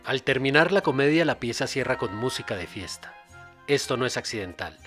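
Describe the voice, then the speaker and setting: A man's voice: mid-range voice, animated, a little fast, a bit bright, faint music behind. A man reading scripted narration as a programme narrator.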